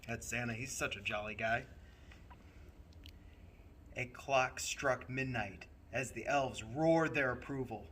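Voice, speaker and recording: A man narrating a children's story expressively, in a theatrical, deliberate way with dramatic pauses. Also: medium pitch, a bit bright, a little hiss or hum. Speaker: storyteller playing a train conductor.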